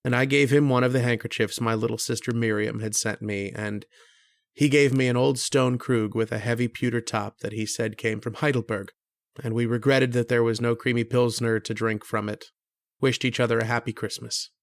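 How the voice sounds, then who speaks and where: An actor playing an earnest, kindly struggling writer who narrates an old-time radio horror drama in a reflective, hesitant way. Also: male voice, mid-range voice, steady tone, moderate pace, no echo, studio quality, close to an everyday voice. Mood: pensive, hushed.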